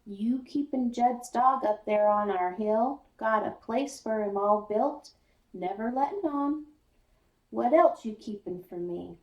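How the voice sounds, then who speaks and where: A woman's voice: a little high, slow, a little mellow, muffled recording. A woman voicing a stern, plain-spoken father who lectures his son in folksy rural phrasing, for a children's story. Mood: accusing. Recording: roomy echo, distant mic.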